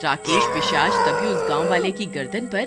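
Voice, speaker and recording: A woman's voice: lowish voice, moderate pace. A woman narrating a horror-comedy story dramatically from a script. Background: background music.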